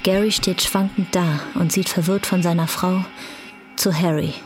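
A woman narrating a scripted German radio drama in a cool, deliberate manner. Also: fairly low pitch, fairly even intonation, soft background music.

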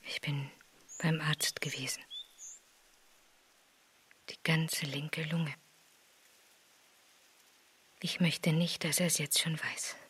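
Fragile anxious wife, extremely breathy, slow hesitant delivery, strained, radio drama. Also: female voice, sits low, steady tone, a bit bright, heavily acted. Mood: anxious, confiding. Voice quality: breathy, half-whispered. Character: fragile, reserved, anxious middle-aged wife.

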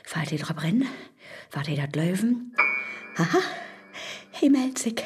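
An actress playing a cunning, bold neighbour woman in a rhymed radio play, with a northern Low German accent and a sly, coaxing delivery. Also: big pitch swings, a little slow, darkish tone, breathy edge, soft background music, exaggerated voice. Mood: sly amusement. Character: Marte, cunning bold neighbour woman baiting the devil online. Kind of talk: audio drama acting in rhymed verse.

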